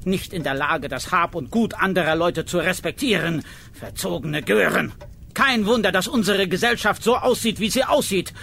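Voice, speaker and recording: An actor playing a cantankerous, self-righteous villager who rants indignantly in a radio play. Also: male voice, sits high, normal speed, gravelly, bright, forceful tone, a little hiss or hum, heavily acted. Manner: indignant, scolding rant. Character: cantankerous, self-righteous older male villager railing at children. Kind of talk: German radio play acting.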